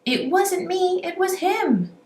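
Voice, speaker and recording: Woman as high, shouting storybook robbers. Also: lively intonation, takes it slowly, heavily acted. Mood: indignant shouting. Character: rowdy, protesting gang of male robbers. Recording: roomy echo, faint background noise.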